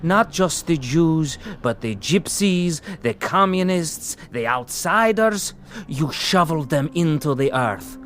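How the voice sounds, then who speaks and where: An actor playing a calm, relentless accuser in a radio drama, speaking in a sardonic, deliberate manner. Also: male voice, a little high. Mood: accusing. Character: calm, relentless accuser haunting a former camp captain.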